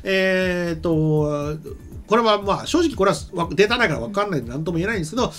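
A man's voice: high-pitched, animated, fast, a bit bright. A middle-aged man hosting a studio talk show, giving casual explanatory commentary at a desk microphone. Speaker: host, anime and game writer. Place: small streaming studio.

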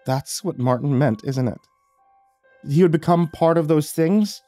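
A man voicing an upset young woman in a spoken horror story, her voice high and strained. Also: measured pace, dark tone, no room sound, faint music behind, close to an everyday voice. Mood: shaken.

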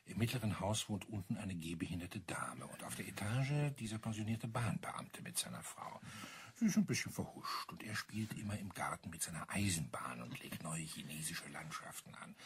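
An actor playing a talkative landlord amused by his eccentric neighbours, husky and explanatory, in a German crime radio drama. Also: sits low, very animated, moderate pace, hardly any pauses, a little hiss or hum. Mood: chatty, explaining. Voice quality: husky, breathy. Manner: chatty, explanatory, savouring details.

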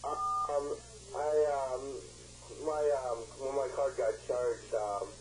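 A man's voice: mid-range voice, slow. A man chatting casually on a phone call, laid-back and hesitant, sounding unbothered. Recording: faintly noisy, humming phone call over speaker.

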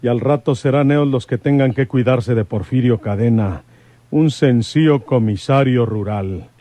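A man acts out a fatherly country lawman with a Mexican accent. He is brave and set on revenge, speaking in rustic radio-serial style, mellow and very dry. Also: mid-range voice, normal speed, no echo, close to an everyday voice. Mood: fatherly, confident. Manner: rustic colloquial speech. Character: folksy, brave, vengeful rural lawman, fatherly. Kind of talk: old-time radio serial acting.

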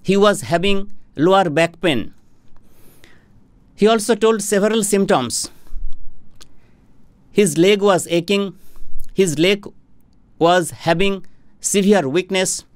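A middle-aged man from India, a homeopathic doctor, explaining a health topic to camera in a formal, deliberate way, speaking into a studio microphone. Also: sits high, no echo. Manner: slow, deliberate, formal explaining with hand gestures. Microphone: studio microphone on a boom arm in front of him.

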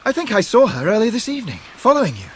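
Earnest young gentleman, high lively voice. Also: rapid-fire, a little hiss or hum. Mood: concerned. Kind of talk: 1990s radio drama acting.

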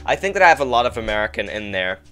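Rambling young man, bright voice. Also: fairly low pitch, big pitch swings, very fast, faint music behind. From England. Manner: rambling, heavy use of "like", frequent laughter. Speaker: podcast guest. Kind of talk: casual chat.

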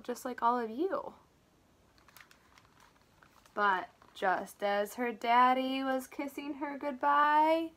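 A woman with a high, bright voice reads a storybook to little children. Her delivery is slow and very lively, like a preschool teacher, with expressive asides to explain things simply. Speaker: preschool teacher reading a picture book aloud. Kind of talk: animated read-aloud storytelling for small children.

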